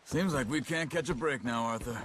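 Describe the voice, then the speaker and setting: An actor playing a sober, low-key Old West gang gunman in a video game cutscene, speaking tersely. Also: fairly quick, fairly bright tone, lightly acted. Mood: subdued, weary. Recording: clear noise behind the voice.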